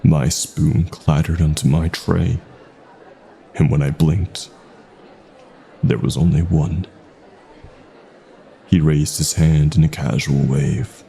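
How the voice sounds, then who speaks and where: A man narrating a scripted horror story with deliberate pauses, his phrases sometimes trailing into hushed breath. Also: very deep voice, steady tone, creaky.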